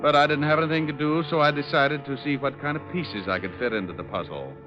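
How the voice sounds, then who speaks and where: A man's voice: a little high, fast talker, close to an everyday voice. An actor playing a tough, wisecracking male private detective, wry and breezy, in 1940s radio style. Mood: wry storytelling. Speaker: lead actor and first-person narrator. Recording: dull vintage radio recording with music.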